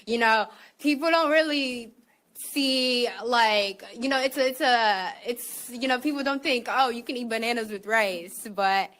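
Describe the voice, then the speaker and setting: A woman with a Somali-American accent speaks hesitantly in an interview clip. She starts her sentences over and over and fills the gaps with small words, and her voice sits a little high. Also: unhurried, a bit bright. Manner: hesitant, many fillers and restarts.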